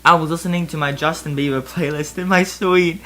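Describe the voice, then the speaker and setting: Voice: medium pitch, fairly even intonation, fairly quick, pushed and bright. A person giving a comedic dramatic reading of fan fiction, giggly and breaking into laughter between asides.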